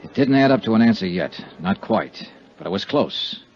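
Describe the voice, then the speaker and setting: An American actor playing a tough, wry insurance investigator in a clipped 1950s radio detective style. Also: male voice, fairly low pitch, animated, moderate pace, slight background noise, close to an everyday voice. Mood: brooding, measured. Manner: clipped, hard-boiled delivery. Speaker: lead actor and narrator of a radio serial. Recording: dull 1950s radio recording.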